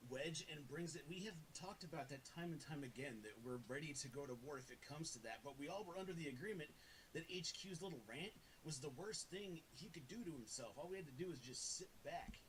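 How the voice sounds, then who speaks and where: A man's voice: on the high side, expressive, a little fast, fairly bright tone, slight background noise, poor audio. A man speaking bluntly, with plenty of swearing, in an argumentative casual group conversation. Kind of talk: heated group voice call argument.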